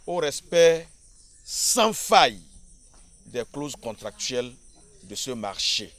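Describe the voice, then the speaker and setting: A man's voice: mid-range voice, very animated, slow, fairly bright tone. A man, an official giving a formal, solemn speech in short phrases. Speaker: government official.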